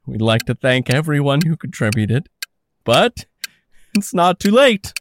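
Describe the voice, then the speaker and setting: A man's voice: medium pitch, sing-song, measured pace, noisy background. A man playing a desperate telethon host who laughs nervously and begs for more calls. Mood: nervous pleading.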